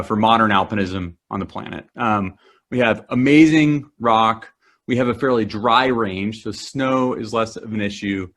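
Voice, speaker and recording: A man's voice: mid-range voice, fairly bright tone. A man from New Zealand presenting a climbing talk, enthusiastic and full of fillers and restarts. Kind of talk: presentation to a club audience.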